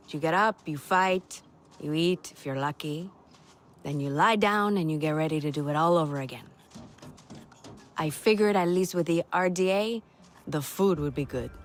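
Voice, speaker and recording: An actress voices a tough female fighter for a video game. Her voice sits a little low and she talks bluntly, with weary, wry humor. Faint music plays underneath. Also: normal speed, pauses often, close to an everyday voice. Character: tough, plainspoken woman, world-weary human fighter. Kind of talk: video game dialogue acting.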